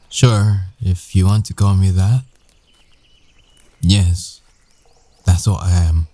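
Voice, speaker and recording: Casting: male actor as a secretive werewolf ex, low and slow, halting and earnest, intimate audio-roleplay delivery. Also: dark tone, no room sound, heavily acted. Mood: guarded, confiding. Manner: halting, hesitant, earnest, with long pauses. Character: guarded werewolf ex-boyfriend, young adult man, shaken, earnest, secretive. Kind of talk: intimate ASMR boyfriend roleplay acting.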